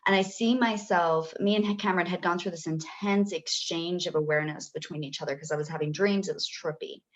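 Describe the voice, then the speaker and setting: Excitable, gushing woman guest. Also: lowish voice, lo-fi sound. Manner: gushing, excitable, talks in quick bursts. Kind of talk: casual spiritual chat.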